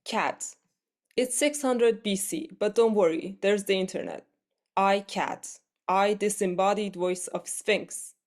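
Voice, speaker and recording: A woman's voice: mid-range voice, slow, darkish tone, natural voice. A woman reading the part of a cat assistant with a Sphinx's voice in an absurdist play, in terse, clipped phrases. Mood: matter-of-fact.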